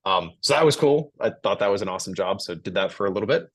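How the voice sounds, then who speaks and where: A man in his forties with an American accent, a guest in a casual podcast interview, chatty and relaxed with many fillers. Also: on the low side, fast talker. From United States.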